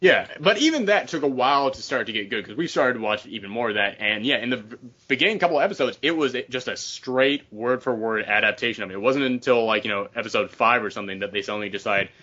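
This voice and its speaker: A man's voice: mid-range voice, lively intonation, quick pace, bright, forceful tone, dull audio. A man hosting a casual panel discussion, talking in an opinionated, casually profane way. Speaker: podcast host.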